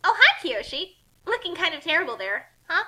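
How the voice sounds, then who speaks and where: A woman voicing a perky, brash young female adventurer with a loud, excitable, flippant manner, for a story reading. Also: very high-pitched, normal speed, pitched above the performer's own voice, exaggerated voice. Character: Kasumi, perky, brash, destructive adventurer daughter.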